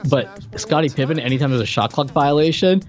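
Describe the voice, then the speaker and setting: A man co-hosting a casual game review podcast, explaining trivia in a halting, conversational way. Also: on the high side, faint music behind. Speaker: podcast co-host.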